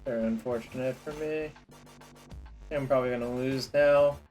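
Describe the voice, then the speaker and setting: A man's voice: medium pitch, fairly even intonation, moderate pace, darkish tone, faint music behind. A man streaming a card game, talking casually to his chat and grumbling under his breath over his plays. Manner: frustrated, muttering to himself and chat.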